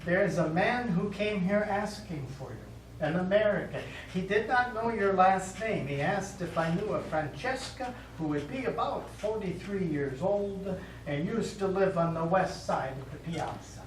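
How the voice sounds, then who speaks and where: In a roomy, slightly noisy stage recording, a gentle parish priest played by a male actor speaks from a distance. He is measured and formal, offering sympathy as a consoling clergyman in a live play. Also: high voice, lively intonation. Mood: careful, apologetic. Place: small indoor theatre studio, recorded from audience distance.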